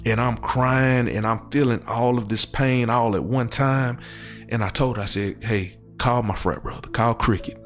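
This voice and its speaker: A man's voice: mid-range voice, steady tone, unhurried, soft background music. A man with an American accent giving personal testimony as an interview guest, earnest and repeating phrases for emphasis. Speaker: show guest, crash survivor.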